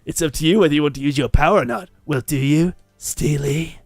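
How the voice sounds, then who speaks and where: A man's voice: a little high, fairly quick, darkish tone, no echo, faint music behind. A man voicing a cheeky, bossy demon fox guide in a visual novel game. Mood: cheeky, instructive.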